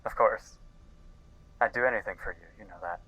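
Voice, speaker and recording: A man playing an earnest, guilt-ridden scientist in an audio drama, speaking intensely and haltingly as if recording a log. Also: lowish voice, bright, forceful tone, muffled recording. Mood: devoted. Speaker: voice actor. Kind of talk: dramatic audio-log monologue in a fiction podcast.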